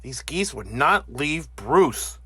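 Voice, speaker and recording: A man narrating a children's picture book as an animated read-aloud. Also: fairly high pitch, takes it slowly, heavy background noise. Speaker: storybook narrator.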